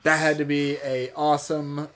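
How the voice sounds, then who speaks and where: An upbeat man co-hosts a laid-back podcast, reading listener messages aloud and cheering them on. He laughs easily and sounds enthusiastic. His voice sits a little high for a man. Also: little pitch movement, normal speed, a bit bright. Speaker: podcast co-host.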